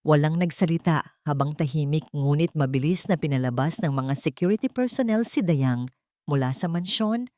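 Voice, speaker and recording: A woman's voice: deep voice, animated, moderate pace, dark tone, no echo. A woman narrator reading a scripted dramatic love story.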